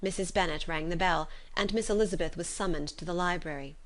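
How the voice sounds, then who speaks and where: A woman reading literary narration as an audiobook, measured and articulate. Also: lowish voice. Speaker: audiobook reader.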